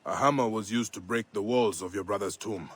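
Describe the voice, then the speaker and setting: Deep, brooding ancient Egyptian warrior. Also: male voice, fairly quick, a bit bright, breathy edge. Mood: grave, investigating. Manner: solemn, terse, resolute. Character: brooding ancient Egyptian male protector and avenger. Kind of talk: video game cutscene acting.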